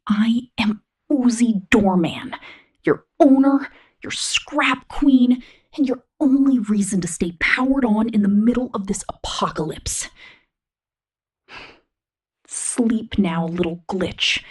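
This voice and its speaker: A woman voicing a possessive, scheming robot rebel in an ASMR roleplay, with a whispery, slow, domineering murmur. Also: a little high. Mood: possessive, commanding. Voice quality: breathy, whispery.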